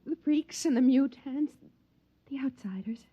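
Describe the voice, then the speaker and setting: An American actress playing a timid, wistful young wife in a sci-fi radio drama, speaking softly, hesitantly and breathily. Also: female voice, sits high, measured pace. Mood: haunted, pitying. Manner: soft, hesitant, dreamy, breathy. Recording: muffled vintage radio recording, music behind.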